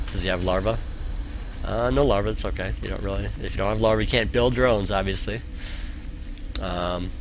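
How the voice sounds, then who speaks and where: A man's voice: fairly low pitch, background noise. A young man with a nasal, stuffed-up voice from a cold, casually coaching through a game replay on a live stream, rambling with lots of fillers. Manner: rambling, filler-heavy, encouraging.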